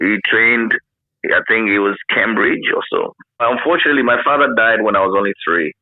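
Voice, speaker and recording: Low-voiced Ghanaian man on a phone-like line. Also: fairly quick, no room sound. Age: 50s. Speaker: interview guest, former radio pioneer. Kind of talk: casual reminiscing interview answers.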